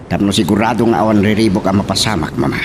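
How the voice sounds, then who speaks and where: An actor playing a scheming young landowner's son giving orders, with a Filipino accent, in a radio drama. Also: male voice, fairly low pitch, fast talker, vocal fry, distant mic, a little hiss or hum. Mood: commanding, plotting.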